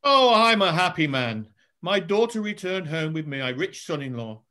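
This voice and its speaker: A man's voice: fairly high pitch, big pitch swings, normal speed, sharp tone. A man playing a jolly, pompous emperor in an amateur pantomime read-through on a video call. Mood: jubilant.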